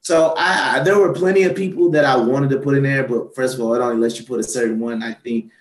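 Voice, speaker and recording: A man's voice: medium pitch, steady tone, fast talker, roomy sound. A man hosting a casual political talk show, his voice tired and worn, rambling with lots of fillers and restarts. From Atlanta, Georgia. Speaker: podcast host.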